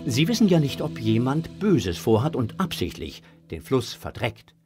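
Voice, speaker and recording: A man narrating a children's audio drama in a scripted storytelling style, with a husky voice. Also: medium pitch, big pitch swings, moderate pace, a little mellow, soft background music.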